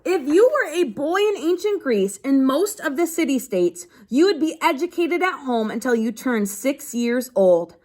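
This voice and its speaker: A woman reading a children's book aloud like a teacher, pausing to explain things simply as if to young pupils. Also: high voice, very animated, a little mellow. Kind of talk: read-aloud lesson with simple explanatory asides.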